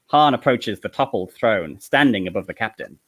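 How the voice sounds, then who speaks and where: A man's voice: fairly low pitch, animated, normal speed. A man reading screenplay stage directions as narrator of a comedy table read, with an amused manner.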